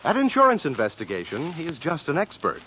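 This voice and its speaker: A man's voice: on the high side, wildly swooping pitch, fast, slight background noise. A man announcing a 1940s radio show in a theatrical, emphatic style. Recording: dull 1940s radio, loud music.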